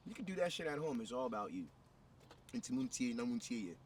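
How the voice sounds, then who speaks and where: A man from Ghana hosting a casual interview for his vlog, excitable and profane, often cutting in. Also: medium pitch, fast. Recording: distant mic with background noise.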